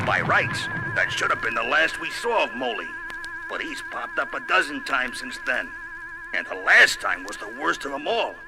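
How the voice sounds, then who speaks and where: A man plays a gruff, brawling superhero with a gravelly voice, narrating like a sardonic tough guy full of slangy wisecracks. It is 1970s record drama acting, with dramatic music clearly behind him. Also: medium pitch, natural voice, low-quality recording.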